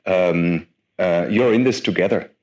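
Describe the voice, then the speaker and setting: Brief: man, 40s, low voice, guest speaker with a chatty, self-deprecating conference-talk delivery. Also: unhurried. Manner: lively, self-deprecating, chatty with frequent fillers.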